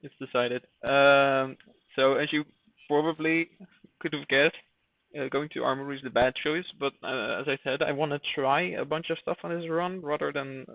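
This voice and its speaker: A man's voice: mid-range voice, gravelly, dry recording. A man giving casual live commentary while streaming a game, thinking aloud with hesitant fillers. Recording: dry, phone-like narrow sound.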